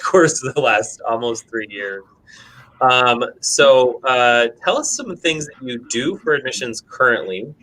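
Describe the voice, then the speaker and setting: A man's voice: medium pitch, fairly bright tone, rough recording. A man hosting a college talk show, chatty and upbeat, laughing easily as he introduces guests. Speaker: host. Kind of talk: campus talk show, casual interview.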